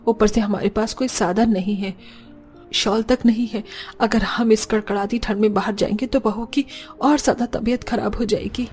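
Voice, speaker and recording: A woman's voice: fairly high pitch, fairly even intonation, moderate pace, a little mellow, faint music behind, close to an everyday voice. A woman voicing a caring, long-suffering older mother-in-law in a cartoon story, earnest and lamenting. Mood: worried, reassuring.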